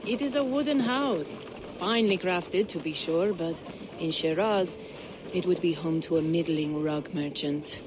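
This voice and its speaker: An actor playing a dry, teasing older merchant in a video game. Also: male voice, a little high, a little slow, close to an everyday voice. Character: wry older male Persian merchant. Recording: game soundtrack with background noise.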